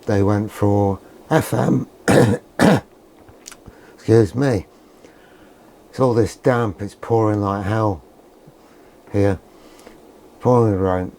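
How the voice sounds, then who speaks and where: A man's voice: lowish voice, steady tone, drawn-out words, pauses often, dark tone. An older British man giving rambling, unscripted commentary in a vlog, with a dry, grumbling, sardonic manner. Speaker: presenter of a vintage radio video.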